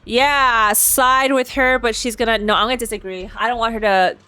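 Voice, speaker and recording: A woman live streaming a game reaction, slangy and animated, switching between casual comments and reading dialogue aloud. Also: on the high side, moderate pace, clean tone.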